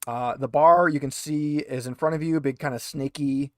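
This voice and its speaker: A man narrating a tabletop game as game master, moving between read description and casual, halting explanation. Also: on the high side, steady tone.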